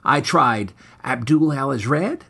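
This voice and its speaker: Guy reading spooky funny story, kinda husky, very up and down, mock serious. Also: medium pitch, lively intonation, slow pace, fairly bright tone. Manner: mock-serious, formal, theatrical delivery. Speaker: author reading his own short story, podcast host. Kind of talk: scripted dramatic narration of a comic horror story.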